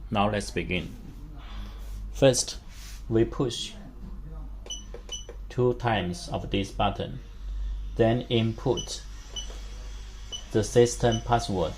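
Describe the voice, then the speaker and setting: A man with a slightly low voice walks through a device setup at a slow pace. He is a non-native English speaker who talks in short, simple, halting chunks as he instructs. There is some background noise in the recording. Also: a little mellow. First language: not a native English speaker. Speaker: product demonstrator. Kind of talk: step-by-step instructional demonstration.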